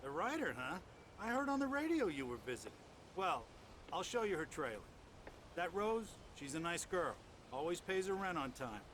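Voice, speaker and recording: An actor playing a folksy, God-fearing American trailer park manager in a video game, plain-spoken and neighborly. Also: male voice, fairly high pitch, big pitch swings, normal speed, a bit bright, slight background noise. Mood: curious, friendly.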